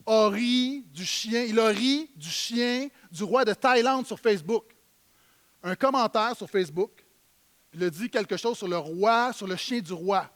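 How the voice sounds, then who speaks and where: A man with a Québécois French accent preaching a sermon in church, emphatic, swinging between loud stresses and quiet low lines. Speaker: pastor.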